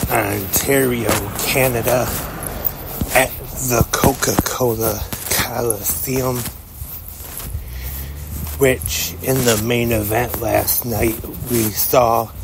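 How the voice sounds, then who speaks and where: A man's voice: mid-range voice, creaky, noisy background. A man hosting a solo wrestling podcast, talking with a stuffed-up nose from a cold. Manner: slow, measured and even, with pauses. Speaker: podcast host. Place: walking to work outdoors.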